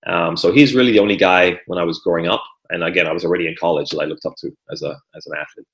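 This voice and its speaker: A man with a very low voice answers interview questions in a relaxed, unhurried way. He is middle-aged, from Guyana and raised in England, and restarts his sentences with repeated words. The room gives his voice a roomy echo. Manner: easygoing, repeats words when starting sentences. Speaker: former Olympic hurdler and trainer, guest. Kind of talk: conversational interview. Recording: echoey room.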